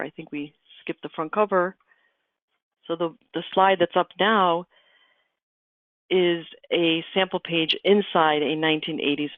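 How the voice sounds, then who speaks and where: A woman's voice: fairly low pitch, no echo. A woman from Argentina whose first language is Spanish gives a webinar presentation, explaining steadily and calling for the next slide. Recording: tinny phone-line audio, dry.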